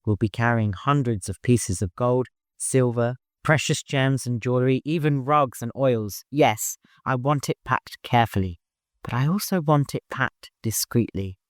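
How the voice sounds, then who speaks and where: A man voicing a hurried, no-nonsense master organizing a caravan in an audiobook, courteous but commanding. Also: mid-range voice, no room sound, studio quality, lightly acted. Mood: grave, commanding.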